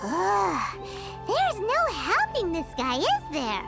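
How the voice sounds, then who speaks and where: Super high squeaky little fairy girl, whiny anime game sidekick, swoopy but not rushed. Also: huge pitch swings, creaky, loud music behind the voice, heavily acted. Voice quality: squeaky, childlike. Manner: whiny, refers to self in third person. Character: tiny excitable childlike floating fairy girl companion, easily scared.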